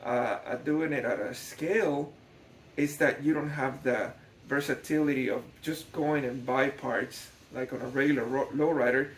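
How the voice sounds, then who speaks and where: A man's voice: medium pitch, steady tone, normal speed, a little hiss or hum. Man in an echoey room. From Guatemala. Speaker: scale model builder, documentary narrator. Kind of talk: unscripted explanatory documentary narration.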